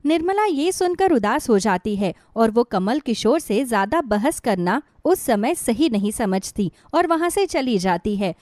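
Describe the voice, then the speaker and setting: A woman narrates a scripted bedtime story for children. Her voice sits fairly high. The recording is dry, with no audible room. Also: sing-song, a little slow, few pauses, darkish tone, no echo.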